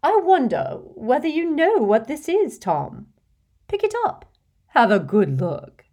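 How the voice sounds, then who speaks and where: A woman's voice: high-pitched, big pitch swings, slow, mellow, pitched above the performer's own voice, exaggerated voice. A woman voicing a doting, gushing wealthy old woman who shows off her treasures in a fawning, breathless way, dropping now and then to coy whispers.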